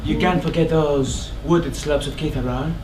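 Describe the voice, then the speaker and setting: A man's voice: a little high, steady tone, moderate pace, lightly acted. A man playing an insistent messenger in a stage tragedy, coaxing an old friend in a theatrical manner. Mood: jovial, coaxing. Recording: echoey theatre, distant mic, audience noise.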